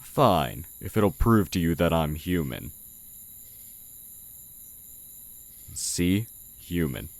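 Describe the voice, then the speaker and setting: Sly male demon in blacksmith's guise, acted by a man for audio roleplay: very low, unhurried, condescending, crickets behind. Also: no echo, full character voice. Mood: coaxing, guarded. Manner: slow, measured, condescending. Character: sly male oni demon posing as a humble village blacksmith. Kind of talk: audio roleplay, intimate character acting. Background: night forest ambience with crickets.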